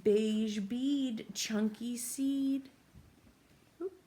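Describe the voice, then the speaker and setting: A woman doing an interactive read-aloud for young children, gently asking questions and pausing for answers. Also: on the high side, fairly even intonation. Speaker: teacher reading a picture book to young children. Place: small room at home, indoors.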